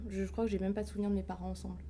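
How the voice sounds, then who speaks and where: A woman's voice: mid-range voice, flat delivery, very fast, mellow, slight background noise. A woman in her late twenties, a guest on a live stream, telling her life story casually with fillers and frequent laughs. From France. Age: 20s. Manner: chatty, laughs often, hesitant fillers.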